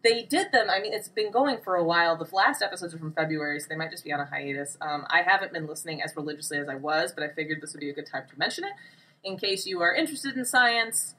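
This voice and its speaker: Bright-voiced woman podcaster, slightly distant. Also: on the low side, animated, fast. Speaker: podcast co-host. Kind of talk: casual podcast chat. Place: small room.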